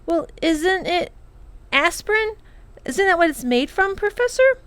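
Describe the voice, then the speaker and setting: A woman's voice: extremely high pitch, big pitch swings, measured pace, a bit bright, no echo, pitched above the performer's own voice, exaggerated voice. A woman voicing eager, curious, sweet children in a storybook, with a wide-eyed, questioning manner. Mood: hesitant, eager. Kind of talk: children's storybook character reading.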